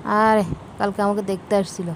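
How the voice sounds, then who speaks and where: A woman's voice: medium pitch, level tone, normal speed, slight background noise. A young woman chats casually and bluntly, with a teasing manner, on a phone call recording. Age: young adult.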